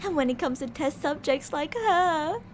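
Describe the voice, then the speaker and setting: Woman acting a very high-voiced, cocky female mad scientist. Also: animated, moderate pace, slight background noise. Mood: cocky, nonchalant. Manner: blunt, theatrical, boastful. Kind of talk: ASMR roleplay audio drama.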